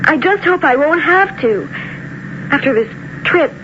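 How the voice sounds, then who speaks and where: A determined young woman who runs a circus speaks in a high, earnest, decisive voice. The style is radio drama acting, with a dull sound and clear background noise. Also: lively intonation, a little slow. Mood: guarded, brooding. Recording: muffled, noisy radio broadcast.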